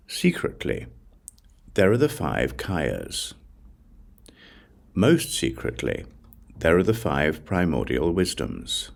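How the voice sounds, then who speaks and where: A man narrating a Buddhist scripture as an audiobook, solemn and deliberate, in short measured phrases. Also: rumbling low, creaky.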